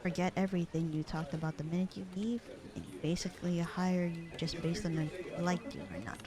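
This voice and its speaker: A woman playing a bored, sarcastic, slightly flirty secretary in a casual roleplay audio drama, with a deadpan, slangy delivery. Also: lowish voice, brisk, crowd noise, lightly acted. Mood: reluctantly helpful. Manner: deadpan, slangy, unbothered drawl.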